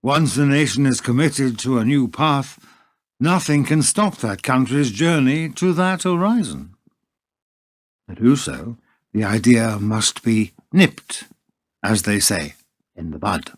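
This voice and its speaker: A man playing a wry, philosophical storyteller, narrating a radio play with deliberate, rhetorical phrasing. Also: medium pitch, lively intonation, no room sound, studio quality.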